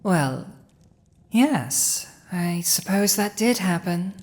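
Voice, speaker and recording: A woman acts out a villainous dragon who has seized a throne. The voice is lowish for a woman and extremely unhurried, lingering over pauses. It is imperious, possessive and teasing, in the manner of an intimate fantasy roleplay audio. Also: animated, darkish tone. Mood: amused, condescending. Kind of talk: dramatic roleplay audio addressed to the listener.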